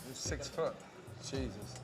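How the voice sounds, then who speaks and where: A man's voice: mid-range voice, slow, loud music behind the voice. A man presenting a food show, wry, blunt and given to swearing.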